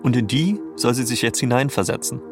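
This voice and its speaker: A man's voice: medium pitch, fairly quick, over music. A man co-hosting a narrative podcast, reading scripted storytelling in a conversational, explanatory tone.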